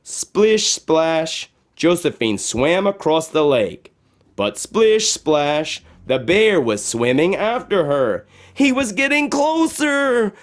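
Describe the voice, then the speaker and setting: Very animated, unhurried male storybook reader. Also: a little high. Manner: dramatic, expressive with sound words. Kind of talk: animated read-aloud narration.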